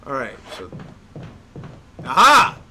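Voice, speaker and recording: A man's voice: mid-range voice, wildly swooping pitch, takes it slowly, bright, forceful tone, husky. A man casually commentating his live gameplay at home, joking and chatty. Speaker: game streamer. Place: home, indoors. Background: game music.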